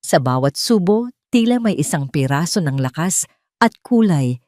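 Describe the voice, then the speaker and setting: A woman with a Filipino accent reading a scripted dramatic story in a measured, solemn manner. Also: low voice, big pitch swings, a little mellow, no room sound, studio quality. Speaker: story narrator.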